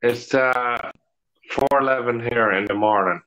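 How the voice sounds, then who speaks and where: A man's voice: medium pitch, a little slow, a bit bright. A man from Ireland with an Irish accent, chatting casually on a video call, swearing now and then and laughing a lot. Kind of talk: casual chat. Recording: dull lo-fi call audio.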